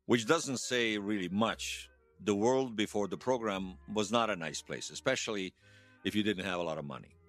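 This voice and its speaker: A man acting the part of a wistful, wry old hero in his seventies, reminiscing haltingly in a radio drama interview. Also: lowish voice, fairly bright tone, faint music behind, natural voice. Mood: wry, resigned. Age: 70s. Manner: halting, reflective, self-deprecating. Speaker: interview guest.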